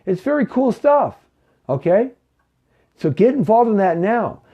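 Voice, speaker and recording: Preachy fifties man, lively voice. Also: fairly high pitch, highs cut off. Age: 50s. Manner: preachy, repeats himself, keeps asking "okay?" and "right?". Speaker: talk show host. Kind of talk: live money talk show.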